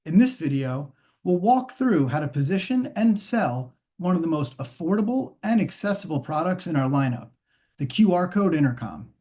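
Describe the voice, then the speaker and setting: A man presenting scripted sales training in a polished, instructional, measured way. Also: fairly high pitch, very animated, a little mellow. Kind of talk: scripted corporate training narration.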